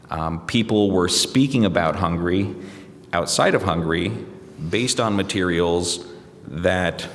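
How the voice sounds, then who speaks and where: A man with a very low, deep voice answers interview questions at length, pausing on fillers and restarting his sentences as he thinks. He is an American journalist. His voice carries the long reverb of a large hall. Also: fairly bright tone. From United States. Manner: reflective, hesitant with many fillers and restarts. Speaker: journalist guest.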